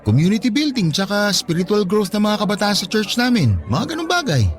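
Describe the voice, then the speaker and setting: A man acting in a radio drama as an easygoing, devout young man, a patient friend who teases gently and persuades good-naturedly. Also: high-pitched, very animated, a little fast. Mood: gently persuasive. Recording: studio dialogue over faint music.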